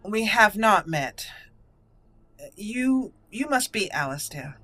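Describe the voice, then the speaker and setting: A woman roleplaying a self-assured young female mage recruit in a fantasy game, speaking in a formal, measured way. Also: mid-range voice, sing-song, pushed and bright, dry recording, heavily acted. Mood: composed, confident.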